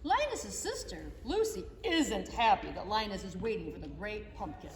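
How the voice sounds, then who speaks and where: A woman narrating a children's reader's theater story in an expressive, animated way, recorded from afar in an echoey hall. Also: mid-range voice, normal speed. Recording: echoey hall, mic far off.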